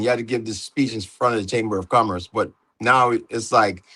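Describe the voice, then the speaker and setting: Brief: male host, relaxed, laughs often, questioning guests on a casual live stream. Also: mid-range voice. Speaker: livestream host. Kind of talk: casual livestream chat.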